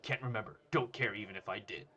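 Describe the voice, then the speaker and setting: Swaggering male high school bully voiced by a male narrator, forceful and rough-edged, taunting and boastful. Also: fast. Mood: threatening, smug.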